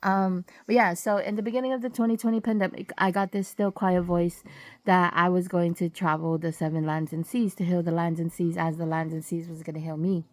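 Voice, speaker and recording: A woman recovering from a cold, sniffly, telling travel testimonies in a halting, casual way with many fillers and self-corrections. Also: lowish voice, little pitch movement, a little mellow, no room sound. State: getting over a cold, sniffling. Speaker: vlogger sharing travel testimonies.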